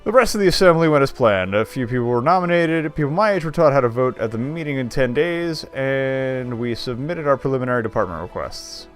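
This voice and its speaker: A man with a middling pitch reads visual novel narration aloud as a game streamer. He reads expressively and drops in wry, casual asides, with faint music behind him. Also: animated, fairly quick, hardly any pauses.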